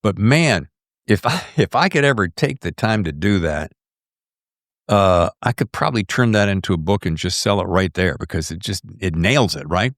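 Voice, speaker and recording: Male podcast host from the US South: very lively pitch, dry close sound, folksy, rambling chat. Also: moderate pace, no room sound. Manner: animated, rambling, folksy slang. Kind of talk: casual interview chat.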